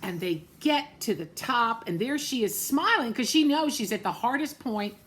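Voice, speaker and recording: A woman reading a children's picture book aloud, gently pointing out the pictures. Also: fairly high pitch, lively intonation, normal speed. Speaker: storybook reader.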